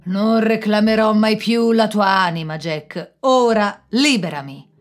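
A woman's voice: fairly low pitch, expressive, takes it slowly, soft background music. A woman voicing a menacing male devil who roars commands when trapped. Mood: furious.

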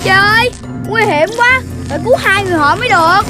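An actor voicing a timid, easily scared young animal child in a children's cartoon, anxious and exclamatory over loud music. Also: very high voice, expressive, quick pace. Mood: alarmed.

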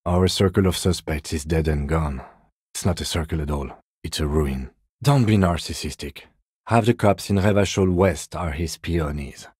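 An actor playing a composed, weary police detective in a video game, with dry, deadpan, measured delivery. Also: male voice, low-pitched, breathy edge, dry recording. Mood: dry, restrained.

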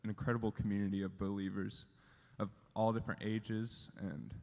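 A man leading worship, praying quickly and earnestly before the songs. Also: fairly low pitch, level tone, gravelly, darkish tone, big-room sound. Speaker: worship leader. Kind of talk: spoken prayer. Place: large auditorium stage. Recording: music under the voice.

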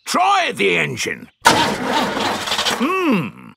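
A man's voice: high-pitched, wildly swooping pitch, extremely slow, vocal fry, very noisy, heavily acted. A man voicing a helpful older grandad dog mechanic in a cartoon. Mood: brisk, helpful. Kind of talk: cartoon character acting.

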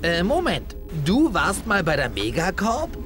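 A man voicing a young, friendly, brash furry hero in a game cutscene, casual and excitable. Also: high voice, unhurried, over music, exaggerated voice. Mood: eager, surprised. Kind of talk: animated game cutscene acting. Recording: loud background noise.

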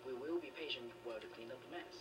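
An actor voicing a patient, formal, polite older uncle in a video game, recorded off the game's speakers. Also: male voice, medium pitch, rapid-fire, far from the mic, loud music behind the voice, lightly acted. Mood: calm, courteous. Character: patient, courteous older uncle taking tea. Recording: game audio picked up off speakers.

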